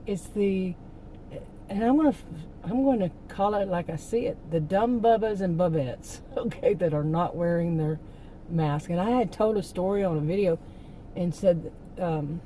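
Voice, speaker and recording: Middle-aged woman vlogger, hoarse, dark low voice, chatty and rambling. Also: a little slow, slight background noise, low-quality recording. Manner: rambling, venting, with many restarts. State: hoarse, losing her voice. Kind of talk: casual rambling chat.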